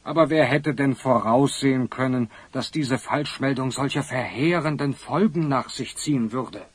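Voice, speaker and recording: A man playing a pompous, florid older wealthy magnate with a husky voice in a children's audio drama. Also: mid-range voice, normal speed, no room sound, lightly acted. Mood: distressed, confessing.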